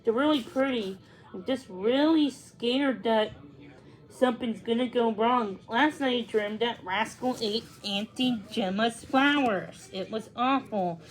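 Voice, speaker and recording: Woman reading as an anxious young schoolgirl, high-pitched. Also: unhurried, natural voice, poor audio. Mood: worried, fretful. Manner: worried, exclaiming.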